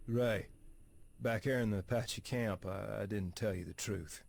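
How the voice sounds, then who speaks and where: An actor playing an earnest younger-brother gunfighter, sincere and plain-spoken, in a western game cutscene. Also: male voice, on the low side, a little slow, vocal fry, a little mellow, a little hiss or hum. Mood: confessing.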